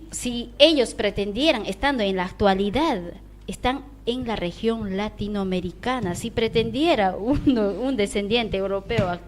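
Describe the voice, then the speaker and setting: A woman giving her opinions in a lively, searching way on a radio discussion. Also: mid-range voice. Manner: animated, opinionated, searching for words. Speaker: radio host.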